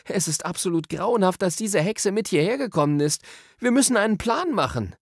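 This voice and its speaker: A man's voice: high voice, animated, brisk, no echo, clean studio sound, voice pushed up. A man voicing an anxious, stubborn young boy in a storybook, pleading and insistent. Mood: urgent. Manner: urgent, insistent.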